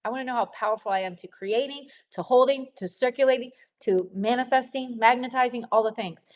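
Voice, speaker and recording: A woman coaching a women's group on their relationship with money in a gentle, encouraging teaching talk, sniffling a little and laughing often. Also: fairly high pitch, fairly quick.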